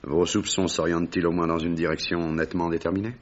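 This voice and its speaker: Very deep, gruff explorer. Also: male voice, flat delivery, fast. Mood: probing, curt. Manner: blunt, proud, formal. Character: gruff, imposing bearded lion hunter and African explorer. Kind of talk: French radio drama acting. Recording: dull, muffled vintage radio recording.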